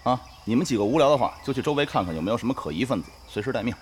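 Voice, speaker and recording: Male actor doing bossy guild leader, audio drama, curt, super quick talker, lively tone, bit of noise behind. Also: mid-range voice, lightly acted. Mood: impatient, ordering. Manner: curt, commanding. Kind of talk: Mandarin audio drama acting.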